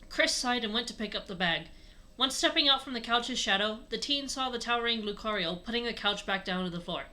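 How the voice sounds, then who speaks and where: A woman's voice: on the high side, expressive, brisk, bright, forceful tone. A woman narrating a story aloud as a co-host, with casual asides. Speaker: co-host reading fan fiction aloud.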